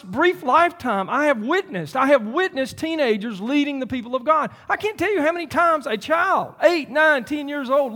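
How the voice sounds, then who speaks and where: Animated preacher in his fifties. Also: very high-pitched. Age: 50s. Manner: animated, rising to near-shouts, repeating and restarting phrases. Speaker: pastor. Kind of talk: sermon.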